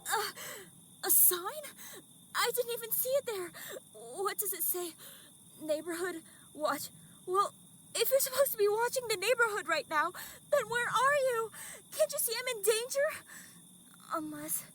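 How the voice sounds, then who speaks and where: High-voiced, lonely, anxious young woman. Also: lively intonation, a bit bright, slight background noise, full character voice. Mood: frightened, defiant. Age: young adult. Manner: introspective, self-doubting, halting. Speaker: actor. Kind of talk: dramatic radio play monologue. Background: music.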